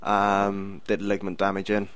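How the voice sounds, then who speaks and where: Young guy, Milton Keynes accent, low voice, dry close sound, swears a lot. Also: completely flat delivery, moderate pace, a bit bright, clean tone, no room sound. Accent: English, Milton Keynes. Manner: laid-back, sweary storyteller. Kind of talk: casual chat.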